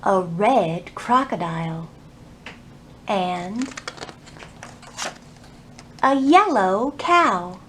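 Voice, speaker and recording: A woman hosting a children's storytime, reading and teaching in an animated way with careful enunciation for young listeners. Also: mid-range voice, very slow, long pauses. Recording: roomy echo, faint background noise.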